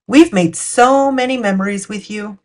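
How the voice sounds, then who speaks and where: A woman's voice: medium pitch, normal speed, darkish tone. A woman narrating a picture book for children as a storytime host. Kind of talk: storytime read-aloud narration.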